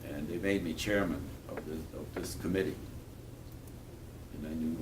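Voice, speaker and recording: Older man, low voice, halting and earnest, speaking to a class from some way off the mic with noise behind. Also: fairly even intonation. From Poland. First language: Polish. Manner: halting, restarts phrases, earnest. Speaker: guest speaker. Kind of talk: talk and Q&A with schoolchildren. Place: school room. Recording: distant mic with background noise.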